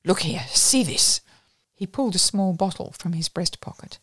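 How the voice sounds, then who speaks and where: A woman doing a hoarse, drunk, grieving man. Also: lowish voice, lively intonation, normal speed, fairly bright tone, no room sound. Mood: maudlin. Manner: maudlin, rambling. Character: grieving, drunk young male poet.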